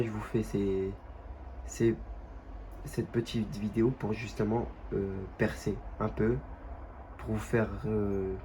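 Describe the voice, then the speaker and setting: A young man with a stuffy, nasal voice from a cold, chatting casually and haltingly over gameplay at home. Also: fairly low pitch, dark tone, slight background noise. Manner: halting, many fillers and restarts. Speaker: gaming youtuber.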